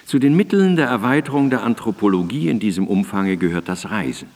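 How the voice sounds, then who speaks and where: An actor playing a learned male philosopher in a German radio drama, reciting in a deliberate, formal, lecturing manner. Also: fairly low pitch, animated, darkish tone, long reverb tail. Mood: measured, didactic. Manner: deliberate, formal, lecturing delivery of long sentences. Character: learned male philosopher Immanuel Kant, measured and didactic.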